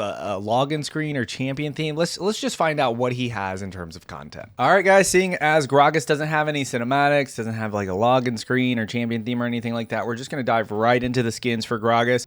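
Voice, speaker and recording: A man with a medium-pitched voice speaks as an informal reaction video host. His delivery is enthusiastic and the recording is dry, with very little room sound. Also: no room sound. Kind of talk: casual reaction commentary and story reading.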